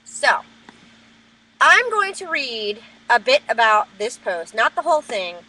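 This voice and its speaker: Loud, high-pitched woman ranting. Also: very animated, a little slow, poor audio. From New Jersey. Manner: loud, profane, heated ranting. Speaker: vlogger. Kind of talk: webcam rant. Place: at work. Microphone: webcam.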